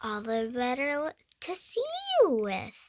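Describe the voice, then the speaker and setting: A young girl voicing a sly, greedy big bad male wolf from a storybook, with coaxing, drawn-out menace. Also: fairly high pitch, lively intonation, slight background noise.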